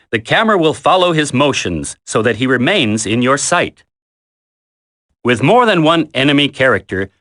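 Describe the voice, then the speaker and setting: A man playing a confident military combat instructor in a video game tutorial, giving firm, encouraging, deliberate instructions. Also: mid-range voice, bright, forceful tone.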